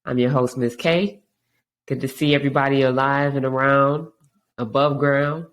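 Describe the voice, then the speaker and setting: A woman's voice: very deep voice, steady tone, moderate pace. A woman hosting a podcast in a frank, casual monologue, her voice stuffy and congested from allergies. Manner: frank, conversational, many restarts. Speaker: podcast host.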